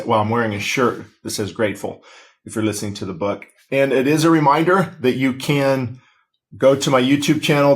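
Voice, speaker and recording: A middle-aged man, a pastor, reading his own book aloud into a podcast microphone in a small studio, with casual asides and frequent fillers and restarts. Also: mid-range voice. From Southern California. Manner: conversational, frequent fillers and self-corrections. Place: small studio with posters on the wall. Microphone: desk-mounted podcast microphone.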